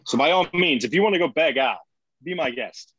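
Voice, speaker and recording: A man playing a gruff, sarcastic, short-tempered colony administrator in a screenplay table read. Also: a little high, animated, brisk, a bit bright, dry recording. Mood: exasperated, sarcastic. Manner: curt, sardonic, foul-mouthed. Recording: dull lo-fi audio.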